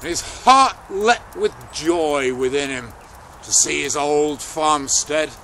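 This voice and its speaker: A man telling a folktale aloud in a dramatic, deliberate way, with pauses between phrases. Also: a little high, pushed and bright, slight background noise. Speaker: storyteller. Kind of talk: oral folktale storytelling, unscripted.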